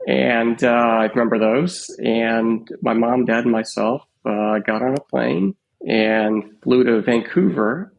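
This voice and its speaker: Gravelly reflective man in his fifties. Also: medium pitch, rough recording. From Canada. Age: 50s. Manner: unhurried, reflective, many "uh" pauses. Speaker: podcast guest, radiologist. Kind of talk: casual storytelling interview.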